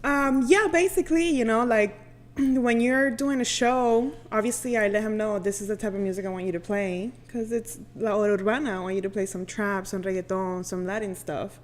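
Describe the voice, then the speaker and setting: A woman's voice: mid-range voice, fairly even intonation, moderate pace. A woman radio host chatting casually as a guest, with informal, slangy phrasing peppered with filler words like "you know". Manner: informal, slangy, frequent 'like' and 'you know'. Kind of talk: casual chat. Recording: background room noise.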